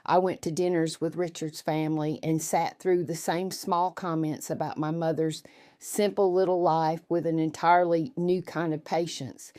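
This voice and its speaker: A woman's voice: sits low, warm tone, dry recording, clean studio sound. A woman reading a scripted first-person story as its narrator.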